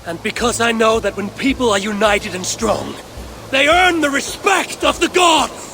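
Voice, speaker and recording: An actor playing a proud, steady Norse warrior leader in a video game, with a gravelly voice and measured, resolute delivery. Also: male voice, extremely high pitch, over music, full character voice. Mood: rousing. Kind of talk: dramatic video game acting.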